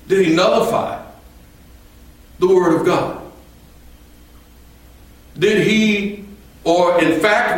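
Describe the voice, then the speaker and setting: A man preaching a sermon in a temple, his voice a little strained, speaking slowly and deliberately and rising to emphatic points. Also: high-pitched. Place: temple sanctuary. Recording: echoey hall from far off.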